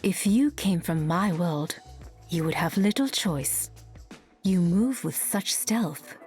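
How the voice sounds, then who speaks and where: A woman voicing a proud warrior-assassin in a video game, speaking in a measured, formal way. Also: fairly low pitch, expressive, a little mellow. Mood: proud, challenging. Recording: music behind.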